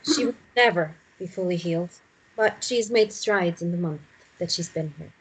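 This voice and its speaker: Woman playing a husky, calm, nurturing woman. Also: fairly low pitch, moderate pace, poor audio. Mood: gentle, hopeful. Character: calm, nurturing woman, devoted companion and caretaker. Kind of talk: screenplay table read.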